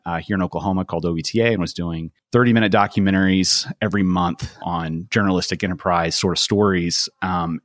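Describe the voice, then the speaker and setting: A man's voice: low-pitched, dry recording, clean studio sound. A man who grew up in Portugal, telling his own story as an interview guest in an informal, self-effacing way. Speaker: podcast guest, filmmaker. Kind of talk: conversational personal storytelling.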